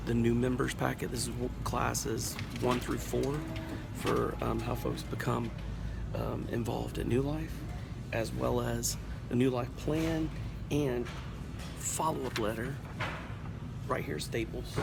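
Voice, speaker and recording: A man's voice: mid-range voice, little pitch movement, takes it slowly. A middle-aged man talking casually and folksily to camera as an upbeat family vlogger and volunteer pastor, rambling as he goes. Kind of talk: casual vlog talk to camera. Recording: clear car and street noise behind.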